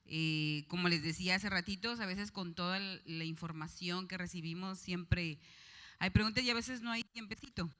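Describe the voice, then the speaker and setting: A woman's voice: fairly low pitch, moderate pace, bright, forceful tone. A woman informally moderating a question session for an audience, chatty and encouraging. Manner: chatty, encouraging, uses diminutives. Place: large hall at a conference. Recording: reverberant hall sound.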